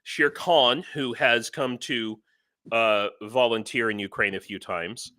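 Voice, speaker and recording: A man with a bright, forward voice hosts a casual livestream. The sound is close and dry, and he talks in a loose, hesitant way, circling back and repeating phrases as he goes. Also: medium pitch, fairly even intonation, takes it slowly, dry recording. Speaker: livestream host, interviewer.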